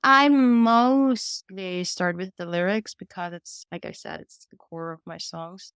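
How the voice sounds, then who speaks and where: A woman's voice: medium pitch, steady tone, measured pace, fairly bright tone, clean tone, no room sound, clean studio sound. A woman, answering interview questions in an earnest, candid way and telling her own story. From Vienna, Austria. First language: German. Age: 20s. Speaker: podcast guest, singer-songwriter.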